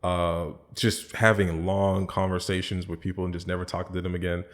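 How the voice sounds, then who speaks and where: Podcast guest, man, American accent, very low voice, loose rambling chat full of fillers. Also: level tone, a little fast. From born in the Bahamas, raised in the US. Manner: easygoing, rambling, many fillers and restarts.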